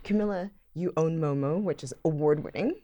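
A woman's voice: deep voice, brisk, warm tone. A woman co-hosting a podcast, speaking hesitantly with many fillers. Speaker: podcast host. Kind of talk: casual chat.

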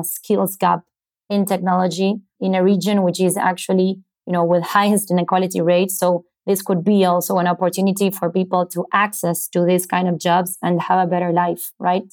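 Female startup founder guest, Argentine accent, native Spanish speaker, lowish flat pitch, explanatory, slightly halting. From Buenos Aires, Argentina. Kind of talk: explanatory interview answers.

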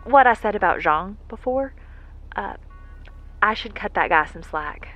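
Through slightly muffled audio with music behind, an earnest, vulnerable woman in an audio drama. The voice is lowish and unhurried, with a folksy, reflective, halting delivery. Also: animated. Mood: sheepish, wistful. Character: earnest, vulnerable working woman recording a heartfelt message. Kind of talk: intimate monologue in an audio drama.

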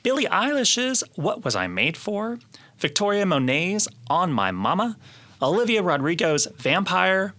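Bright-voiced man co-hosting a podcast. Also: sits high, very animated, measured pace. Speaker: podcast co-host. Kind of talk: casual podcast chat.

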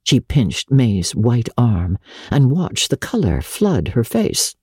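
A woman reading classic literature as a dramatic audiobook narrator, in a measured, expressive storytelling manner. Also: very deep voice, husky, no echo, clean studio sound.

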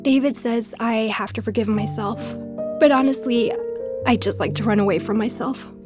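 A woman's voice: on the high side, normal speed, lightly acted. Troubled woman podcaster confessing over music, played by an actress. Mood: self-blaming. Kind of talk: confessional podcast narration in an audio drama.

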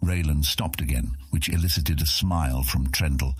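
A man's voice: rumbling low, normal speed, slightly rough, dry recording, studio quality. A man reading scripted fantasy narration as an audiobook narrator.

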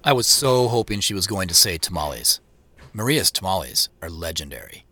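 A man's voice: low-pitched, big pitch swings, fairly quick, pushed and bright, full character voice. An actor playing a reflective man narrating his own story in a first-person audio drama. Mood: nostalgic. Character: Joseph, reflective male narrator returning to old home.

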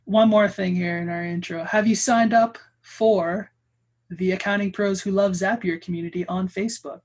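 A woman from Canada with a Canadian accent, co-hosting a casual podcast, upbeat and agreeable with quick laughs. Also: mid-range voice, fairly even intonation, darkish tone. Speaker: podcast co-host.

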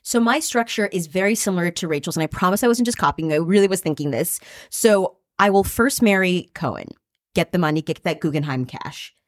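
A woman co-hosting a casual book podcast, spinning playful schemes with a deadpan delivery and some swearing. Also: on the low side, a little fast, no room sound, studio quality. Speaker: podcast co-host, writer.

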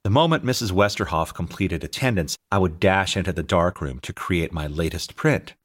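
A middle-aged American man reading his own audiobook as an author and teacher, telling anecdotes in an expressive, scripted style. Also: low voice, moderate pace. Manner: expressive, anecdotal storytelling, acting out dialogue. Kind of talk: scripted narration, audiobook memoir.

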